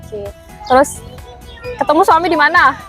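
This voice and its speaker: A woman's voice: high-pitched, moderate pace, pushed and bright, faint music behind. A woman hosting a casual interview chat, echoing back what her guests say. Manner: echoes the guests' answers back. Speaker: host of a vlog, interviewer. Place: picnic gathering with friends.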